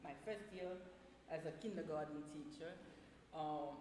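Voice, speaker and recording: Older Haitian woman in an echoey room. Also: low-pitched. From Port-au-Prince, Haiti. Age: 60s. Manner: animated, quick to laugh. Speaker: interview guest, retired school counselor and teacher. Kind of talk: casual storytelling interview. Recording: echoey reverberant room with noise.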